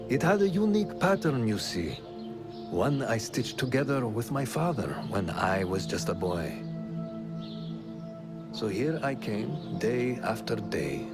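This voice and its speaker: An actor playing a reflective, stoic rebel scout in a video game, with formal, deliberate delivery. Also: male voice, fairly low pitch. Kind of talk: video game cutscene acting.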